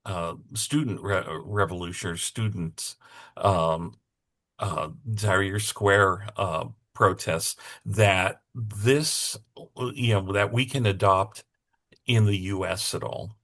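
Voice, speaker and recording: A man in the audience asking a long, halting question at a forum, with many fillers and restarts. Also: low-pitched, fairly bright tone.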